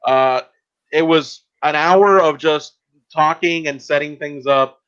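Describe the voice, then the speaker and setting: A man's voice: on the high side, takes it slowly, a bit bright, clean tone. A man co-hosting a casual podcast, explaining things in a conversational way. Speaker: podcast co-host. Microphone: inexpensive plug-in external mic on a laptop. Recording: dull, lo-fi computer audio.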